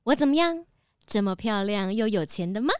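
A woman's voice: mid-range voice, expressive, moderate pace, smooth, dry recording, clean studio sound, close to an everyday voice. A woman playing a vain, coy, rich pelican lady with an affected manner in a children's audio drama.

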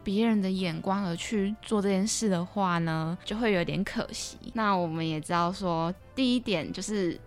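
A woman's voice: medium pitch, normal speed, hardly any pauses. Taiwanese Mandarin woman hosting, music behind. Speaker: podcast host. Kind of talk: conversational podcast hosting.

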